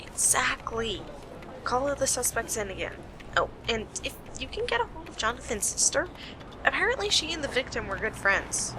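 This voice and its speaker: A woman playing a sarcastic, bossy detective in an amateur radio play, with a wry, brisk delivery. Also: sits high, very animated. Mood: businesslike. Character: sharp, sarcastic female detective leading a murder case. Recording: noisy amateur home recording.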